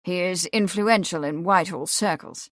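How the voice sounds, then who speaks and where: A woman voicing an affable, well-bred young gentleman in an audiobook, with polite, clipped, old-fashioned phrasing. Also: lowish voice, moderate pace, no echo, clean studio sound, lightly acted. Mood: explanatory.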